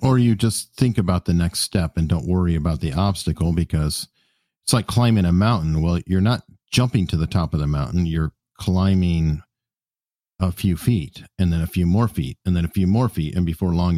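A man's voice: low-pitched, normal speed, mellow, no room sound, studio quality. A man interviewing in a conversational, explanatory manner.

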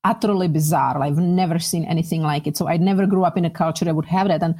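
A middle-aged woman from the Czech Republic talks as an interview guest in a conversational, personal way. Her English carries a Czech accent. The voice sits slightly low, with a soft, dark, relaxed tone. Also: fast, breathy edge.

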